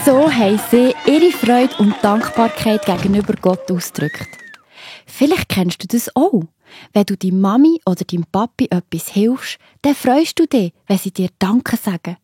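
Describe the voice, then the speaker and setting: Woman, Swiss German accent, children's story narrator, gentle, explanatory, mellow, faint music behind. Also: medium pitch, animated, moderate pace, breathy edge, dry recording.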